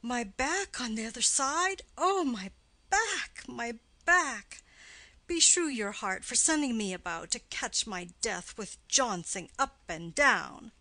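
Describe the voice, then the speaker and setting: Woman acting a high-pitched, grumbling old nursemaid. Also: lively intonation, slow pace, sharp tone, no room sound, full character voice. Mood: aching, complaining. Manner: exclaiming, grumbling. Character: weary, complaining, teasing old nursemaid woman. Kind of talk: classical stage play reading.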